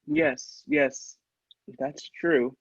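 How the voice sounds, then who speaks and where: A man playing an earnest, easily amazed doctor in an old-style radio play, speaking with theatrical, halting wonder. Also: medium pitch, fairly even intonation, fairly bright tone, no echo. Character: astonished, earnest male doctor visiting inventor. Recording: dull lo-fi, dry sound.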